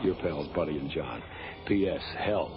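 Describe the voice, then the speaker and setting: A man's voice: extremely low pitch, moderate pace, noisy background. An actor playing an easygoing, wisecracking space crewman in a radio drama, with a wry, casual delivery. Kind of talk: old-time radio drama acting. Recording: dull radio recording over music.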